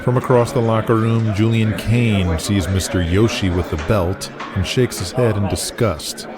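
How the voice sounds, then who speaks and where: Deep guy narrating an audio drama, loud music behind him. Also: steady tone, a little slow.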